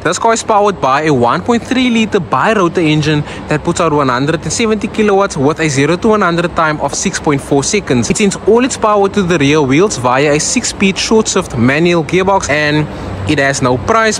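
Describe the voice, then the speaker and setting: A young South African man whose first language is Afrikaans, casually walking viewers through used cars on an outdoor lot and talking to them directly. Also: a little high. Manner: chatty, addresses viewers as guys. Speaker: car vlogger. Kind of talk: casual car walkaround. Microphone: phone camera. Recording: outdoor noise behind voice.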